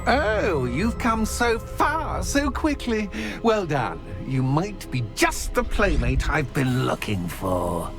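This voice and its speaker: Menacing trickster spirit, video game villain, slow savoring delivery, mock-sweet, taunting, theatrical, hugely swooping pitch. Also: male voice, big pitch swings, vocal fry, full character voice. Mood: mocking, playful. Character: gleeful, menacing male trickster spirit toying with intruders. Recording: loud music behind the voice. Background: loud game music.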